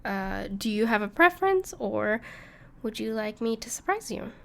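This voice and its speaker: A woman playing a gentle, caring dragon friend in an intimate audio roleplay, speaking reassuringly to the listener with some hesitation. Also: fairly high pitch, very animated, moderate pace, creaky, slight background noise. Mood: warm, hospitable. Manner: soft-hearted, reassuring, hesitant with small laughs.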